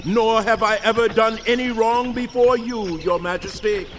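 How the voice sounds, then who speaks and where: A man's voice: high voice, fairly even intonation, normal speed, slight background noise. A man playing a faithful, respectful prophet with solemn declamation in a dramatized audio Bible, over animal roars. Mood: fervent relief. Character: faithful, innocent prophet addressing his king respectfully. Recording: loud roaring lions and ambience behind.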